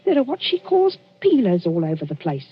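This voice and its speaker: A housekeeper with a very animated, swooping delivery. She is fussy, gossipy and deferential, heard on a dull 1940s radio recording. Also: on the low side, a little fast, husky, soft background music, heavily acted.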